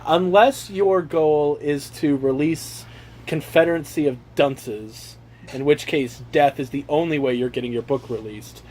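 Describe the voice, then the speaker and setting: A young man with a fairly high voice reads aloud in a mock-earnest way and drops into joking, sweary chat. The recording is amateur, with some noise around him. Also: unhurried, a little mellow. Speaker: reader among his brothers. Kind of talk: joking read-aloud with casual asides. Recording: noisy amateur home recording.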